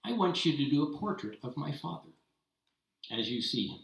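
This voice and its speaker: A man's voice: fairly high pitch, big pitch swings, normal speed, creaky. A man voicing a cruel, haughty tsar who talks in an imperious, mocking way. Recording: roomy, distant mic.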